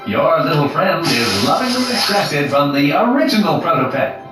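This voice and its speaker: An actor playing a chipper male corporate pitchman in a promotional infomercial from a video game. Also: sing-song, normal speed, fairly bright tone. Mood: reassuring, salesy. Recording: echoey, distant promo audio with music.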